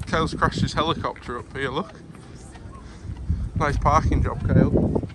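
Young man vlogging outdoors in loud wind. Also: a little high, steady tone, measured pace. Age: young adult. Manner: chatty running commentary to camera, many fillers. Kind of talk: casual travel vlog. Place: outdoors on a windy coast and campsite. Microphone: phone held at arm's length. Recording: loud wind buffeting the mic. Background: loud wind noise.

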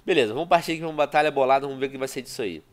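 A man's voice: medium pitch, very fast. A man in his thirties with a Brazilian Portuguese accent, stuffy from a cold, chatting to viewers in casual game commentary over a headset at home. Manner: chatty, addresses viewers constantly, excitable outbursts. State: congested with a cold. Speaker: gaming YouTuber. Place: small room at home, indoors.

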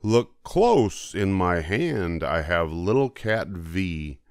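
A man's voice: low-pitched, big pitch swings, takes it slowly, smooth, no echo, clean studio sound, exaggerated voice. Older man reading as a swooping boastful storybook cat. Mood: confident. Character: big confident boastful cat showman in tall hat. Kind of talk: children's storybook character reading.

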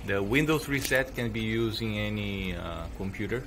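A man's voice: on the low side, expressive, moderate pace, noisy background. A man hosting a tech channel, walking viewers through a computer reset in a plain, hesitant way with fillers. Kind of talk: casual how-to tutorial.

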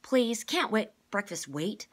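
Woman as an eager, high-pitched impatient girl. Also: lively intonation, takes it slowly, slightly rough. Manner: insistent, exclaiming.

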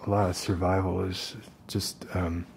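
A middle-aged man acting an unstable, falsely gentle survivalist in a soft-spoken ASMR roleplay, rambling haltingly. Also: sits low, level tone, gravelly, a little hiss or hum. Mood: quietly menacing. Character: unstable, falsely gentle self-appointed protector, survivalist loner.

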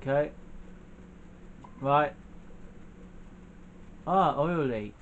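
Man gaming, lively voice, dull lo-fi mic. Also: on the high side, very slow, slight background noise. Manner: exclaiming, frustrated muttering. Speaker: gamer. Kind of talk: casual game commentary.

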